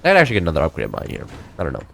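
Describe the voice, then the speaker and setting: A young man in his late teens chatting loosely while playing a game, rambling with many fillers and restarts, his voice a little high for a man. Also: wildly swooping pitch, very fast, creaky, a bit bright, slight background noise. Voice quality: slightly high-pitched for a man. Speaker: gameplay streamer. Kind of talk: casual chat while playing.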